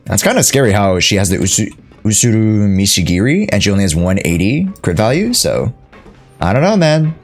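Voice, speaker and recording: Low-voiced, playful young man streaming a game. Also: big pitch swings, brisk. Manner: playful, reactive, slangy, talks to himself. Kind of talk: casual live gameplay commentary. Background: faint game music.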